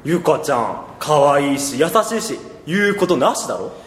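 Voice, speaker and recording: A man's voice: on the high side, big pitch swings, moderate pace, a bit bright, slight background noise. A man playing a cheeky, meddling teenage boy, blunt and slangy, in an amateur radio drama. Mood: blunt, nudging. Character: cheeky, meddling teenage male school friend. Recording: echoey, long reverb.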